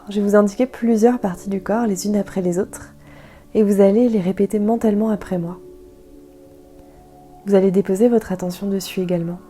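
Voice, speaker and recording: A woman guiding a yoga nidra meditation in a soft, calm, soothing way, leaving gentle pauses. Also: mid-range voice. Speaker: guided meditation instructor.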